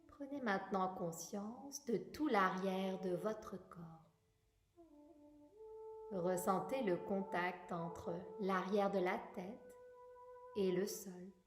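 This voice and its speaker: A woman leads a guided relaxation as a yoga nidra teacher. She speaks very slowly and soothingly, with long pauses between short instructions. Her voice sits at a medium pitch in a roomy, echoing sound, with music underneath. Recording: roomy echo, music bed.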